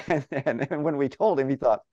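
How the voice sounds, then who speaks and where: Older man with a strong mellow voice. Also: mid-range voice, moderate pace, no echo. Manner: chatty, animated, laughs often. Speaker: interview guest, radio farm broadcaster. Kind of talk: casual storytelling. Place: home office. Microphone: video call. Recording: dull video-call audio.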